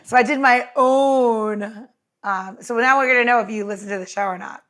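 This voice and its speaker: A woman co-hosting a casual podcast chat, talking in a chatty, quick way. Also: on the high side, fairly bright tone. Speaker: podcast co-host.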